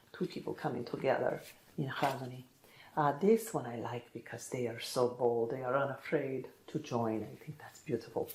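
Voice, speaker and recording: A woman giving a soft-spoken ASMR-style tarot reading in a gentle, reassuring, musing manner, often pausing to check in with the listener. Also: low-pitched, echoey room, poor audio. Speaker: tarot reader.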